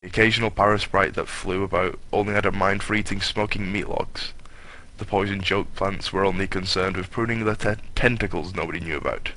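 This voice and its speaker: Deep-voiced man, bright mock-grandiose storyteller. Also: steady tone, brisk, rough recording. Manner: mock-grandiose, ad-libbed asides. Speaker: narrator of a comic fan fiction reading. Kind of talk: dramatic story reading, hammed up.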